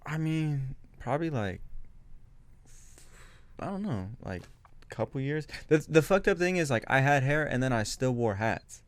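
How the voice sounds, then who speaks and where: A young man co-hosting a casual group podcast, telling things in a slangy, profane, animated way. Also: mid-range voice, measured pace, long pauses, dry recording. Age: young adult. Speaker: podcast co-host.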